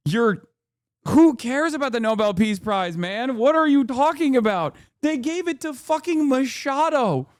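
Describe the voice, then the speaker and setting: A man hosting a stream, giving casual reaction commentary in an animated, sarcastic way and swearing often. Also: high voice, no room sound, studio quality. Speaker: streamer host.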